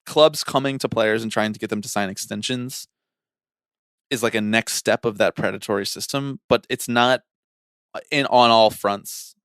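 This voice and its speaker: A man's voice: lowish voice, normal speed, fairly bright tone, clear, resonant tone, no room sound. A young man co-hosting a casual sports podcast, giving his analysis in an opinionated, emphatic way. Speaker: podcast co-host.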